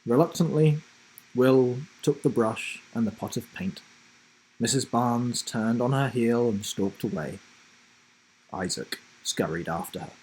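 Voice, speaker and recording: A man reading a children's story aloud in a dramatic, deliberate narrating style. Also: medium pitch, mellow, husky, low-quality recording. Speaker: storybook reader, host.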